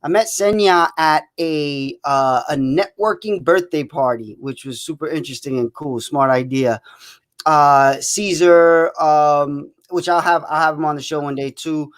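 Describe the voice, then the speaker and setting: A man hosting a live online interview show, casual and halting with many fillers and restarts. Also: a little high. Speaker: host.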